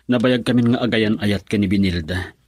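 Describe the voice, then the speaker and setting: A man's voice: fairly low pitch, fairly quick, a little mellow, husky, no room sound, studio quality. A man acting a lovelorn, earnest young man whose sweetheart left him, pleading his case in an Ilocano radio drama. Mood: pleading, defensive.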